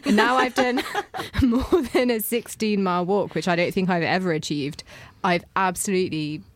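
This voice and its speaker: A woman's voice: medium pitch, moderate pace, dry recording. A woman hosting a casual podcast chat. She talks easily and laughs often. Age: 30s. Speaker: podcast host.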